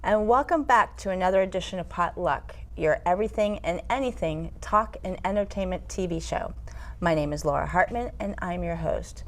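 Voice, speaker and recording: A woman's voice: on the low side, normal speed. A woman hosting a TV talk show, interviewing in an informal, hesitant way. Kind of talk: casual interview.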